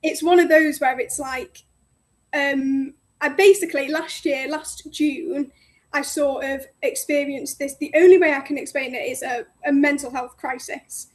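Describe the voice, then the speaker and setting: Bubbly high-voiced young woman on the phone. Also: fairly even intonation, a little slow. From North Wales. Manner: friendly, bubbly, outgoing. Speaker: guest, cleaning business owner. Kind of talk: casual interview chat. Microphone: phone call.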